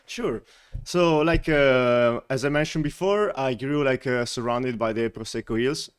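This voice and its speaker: A man's voice: medium pitch, measured pace, smooth. A man from the Veneto region of Italy with an Italian accent, chatting as a guest and laughing often between filler words. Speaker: wine company representative, guest. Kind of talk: casual interview chat.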